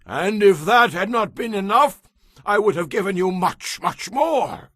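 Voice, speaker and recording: Principled biblical man, declamatory, a little rough, close dry sound, Bible drama. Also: high-pitched, lively intonation, a little slow, a bit bright, no echo, heavily acted. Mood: accusing. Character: solemn, principled man of ancient Israel. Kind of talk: dramatized audio Bible acting.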